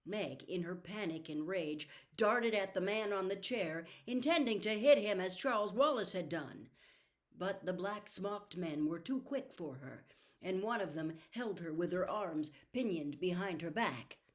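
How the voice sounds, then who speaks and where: A woman narrating an audiobook as dramatic scripted storytelling, expressive and deliberate in her delivery. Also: lowish voice.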